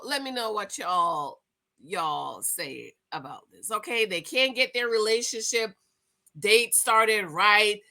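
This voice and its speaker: Sassy, bright-voiced woman in her forties. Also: on the high side. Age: 40s. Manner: sassy, chatty, addresses viewers as girl and y'all. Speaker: commentary vlogger. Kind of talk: casual gossip commentary.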